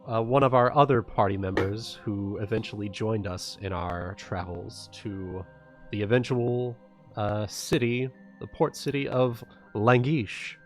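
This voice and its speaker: Male game player, unhurried, explaining a character with light music behind. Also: lowish voice. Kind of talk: character introduction in an online tabletop game.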